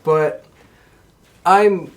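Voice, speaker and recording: Casual podcast host, slightly high-voiced man. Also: fairly even intonation, drawn-out words, slight background noise. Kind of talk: casual wrestling discussion.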